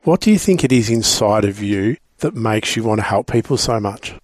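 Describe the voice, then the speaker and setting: A man's voice: lowish voice, animated, moderate pace, no echo. A man with an Australian accent doing a casual interview, matey and encouraging in the way he asks questions.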